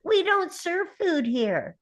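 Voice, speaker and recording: An actress playing a bored, amused bar waitress in a table read of a TV script. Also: female voice, very high-pitched, sing-song, slow pace, exaggerated voice.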